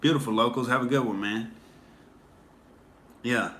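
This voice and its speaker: A man's voice: medium pitch, moderate pace, a bit bright. A man hosting a casual livestream, rambling with many fillers and restarts while answering viewer comments. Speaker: livestream host.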